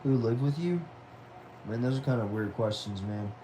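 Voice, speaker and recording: A young man of about twenty hosts a relaxed livestream from home, reading out his viewers' comments and answering them. His voice sits at an ordinary pitch for a man. He sounds loose and chatty, with a faint hiss of noise behind him. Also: normal speed, low-quality recording. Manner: laid-back, chatty, says y'all and man. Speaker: livestream host. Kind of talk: casual livestream chat, reading viewer messages aloud.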